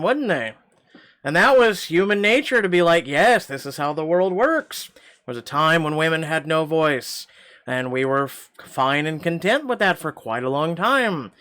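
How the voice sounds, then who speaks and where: A man's voice: medium pitch, bright, forceful tone, clean tone. A middle-aged man with a slightly tight throat, reading a story game aloud and rambling with wry asides and many fillers. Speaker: game channel host.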